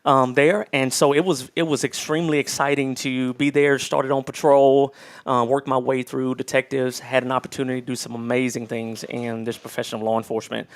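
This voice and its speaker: A man's voice: medium pitch, level tone, brisk. A man from Houston, a pastor guesting on a talk show, polite and often saying yes sir. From Houston, Texas. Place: studio.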